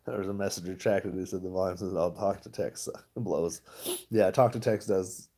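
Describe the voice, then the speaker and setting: A man's voice: low-pitched, moderate pace, warm tone, slightly rough. A man chatting casually to his viewers, rambling and laughing often. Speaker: stock vlogger. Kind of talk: casual livestream chat.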